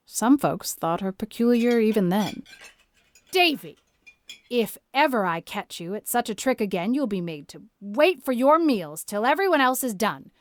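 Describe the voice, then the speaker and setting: A close, dry recording of a strict older country woman, played by an actress, with a mid-range voice. She is brisk and opinionated, with the plain speech of a no-nonsense guardian in an audio storybook drama. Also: medium pitch, dry recording, lightly acted. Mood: reflective, matter-of-fact, then scolding.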